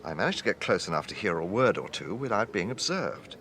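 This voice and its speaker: An actor playing an urbane gentleman police detective in a radio drama, with a British accent and a courteous, probing, dryly amused manner. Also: fairly low pitch, sing-song, fairly quick, a little hiss or hum. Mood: reflective.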